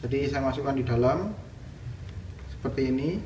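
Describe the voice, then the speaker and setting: A man's voice: medium pitch, moderate pace, dark tone, a little hiss or hum. A man casually narrating a hands-on tutorial, explaining step by step as a vlogger. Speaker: vlogger demonstrating a product installation. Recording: dull lo-fi sound, music behind.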